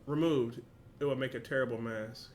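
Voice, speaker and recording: A man's voice: medium pitch, steady tone, moderate pace, a little mellow, a little hiss or hum. A young man hosting a reaction video, reading a story aloud, stumbling over words and laughing at himself.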